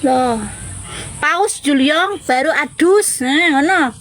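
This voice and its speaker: A woman's voice: high voice, slow pace, a bit bright, slight background noise. A woman chatting casually and informally with viewers as the host of a live stream. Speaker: livestream host.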